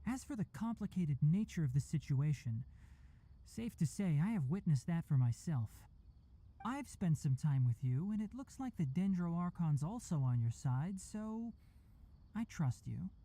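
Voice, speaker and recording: A mellow, calm forest scholar. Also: male voice, on the high side, heavily acted. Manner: measured, composed and thoughtful. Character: calm young male fox-eared forest ranger and scholar. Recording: game audio with faint music.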